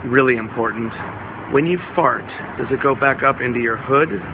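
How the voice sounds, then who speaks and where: A man's voice: mid-range voice, moderate pace. Guy on a video call asking a joke question, tinny audio, noisy outside. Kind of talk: comedic question.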